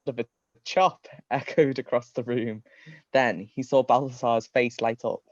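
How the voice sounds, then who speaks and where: A man's voice: medium pitch, fairly even intonation, measured pace, no echo, lo-fi sound. A man reading comedic fan fiction aloud on a livestream. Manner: often breaks into giggles. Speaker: reader.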